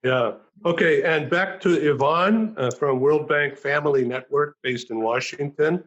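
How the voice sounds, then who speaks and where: A man hosting a talk show over a video call, thanking guests and announcing upcoming shows, talking haltingly with many fillers. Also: fairly high pitch, a bit bright, muffled recording.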